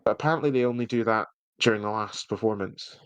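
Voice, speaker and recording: A man's voice: fairly low pitch, fast talker, clear, resonant tone, no room sound. A man hosting a podcast, chatting casually and enthusiastically through a review with hesitant phrasing. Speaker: podcast host reviewing audio drama.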